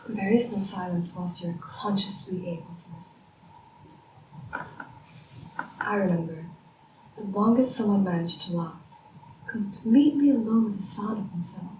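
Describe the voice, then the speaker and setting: A woman's voice: fairly high pitch, big pitch swings, unhurried, distant mic. A woman playing a proud, combative mathematician, sardonic and theatrical, in stage-style dramatic dialogue. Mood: hushed, intense. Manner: sardonic, argumentative, theatrical, sweary. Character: proud, combative woman mathematician, sore loser, bickering with rival. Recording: echoey room, off-mic, faint noise.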